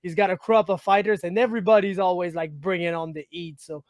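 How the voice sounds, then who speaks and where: A man's voice: high voice, fairly even intonation, fast, dry recording, studio quality. A man from Quebec with a Quebec French accent, hosting a casual interview, chatty and friendly. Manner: friendly, chatty, says "my man", rambling questions. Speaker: interviewer, host.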